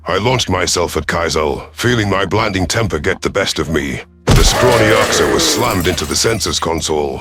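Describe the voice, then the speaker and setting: A man narrating a first-person science fiction story in a dramatic audiobook style. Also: low voice.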